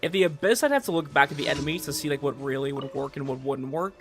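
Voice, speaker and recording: A man hosting a gaming livestream, talking casually and haltingly to his chat, often repeating words as he goes. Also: medium pitch. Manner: conversational, halting, repeats words while reading and answering chat. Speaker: livestream host, gamer. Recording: music playing behind voice. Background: game music.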